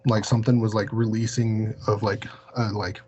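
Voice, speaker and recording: A man chatting casually, haltingly and in a rambling way, leaning heavily on filler words. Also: fairly low pitch, level tone, darkish tone. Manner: halting, rambling, heavy use of "like". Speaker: podcast interviewer. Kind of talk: casual chat. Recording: dull lo-fi mic with hum and hiss.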